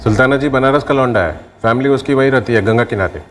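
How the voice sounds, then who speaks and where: An actor plays a guarded informant in a TV crime drama. He talks quickly in a mid-pitched, matter-of-fact male voice. The recording is slightly distant. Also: faint music behind, close to an everyday voice. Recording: distant mic with faint background noise.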